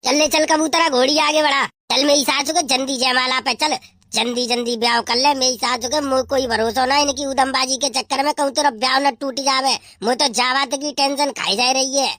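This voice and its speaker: Man voicing a shrill, bossy cartoon father bird. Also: steady tone, normal speed, sharp tone, no room sound. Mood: urgent, worried. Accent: western Uttar Pradesh. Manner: scolding, rustic, repetitive cursing. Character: bossy, irritable father of a pigeon bridegroom. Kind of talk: comic cartoon dub.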